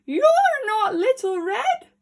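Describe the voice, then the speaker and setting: A woman voicing a feisty old granny from a storybook, loud and exclaiming. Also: very high-pitched, very animated, slow, voice pushed up, heavily acted. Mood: alarmed.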